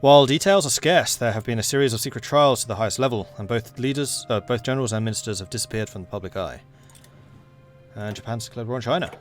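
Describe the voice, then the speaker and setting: A young man with an English accent, casually chatting with viewers and reading game events aloud as he plays. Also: medium pitch. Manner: relaxed, rambling, reads game events aloud. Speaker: streamer.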